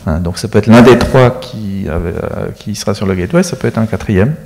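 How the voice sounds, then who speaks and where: A middle-aged man with a fairly low voice explains technical matters in a matter-of-fact way. He speaks into a handheld mic on a studio set. A long reverb trails his words, as in a big hall. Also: expressive, brisk, gravelly, a little mellow, slight background noise. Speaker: space agency expert guest. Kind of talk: studio interview.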